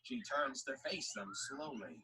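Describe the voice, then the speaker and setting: A man's voice: medium pitch, lively intonation, measured pace. A man reading scripted story narration for an audio drama. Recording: faint background noise.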